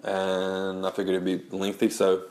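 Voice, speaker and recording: Deep gravelly man with a stuffy head cold. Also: completely flat delivery, a little slow, darkish tone, reverberant. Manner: rambling, many restarts and "like"s. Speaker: blogger and memoir writer. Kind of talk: casual spoken audio blog.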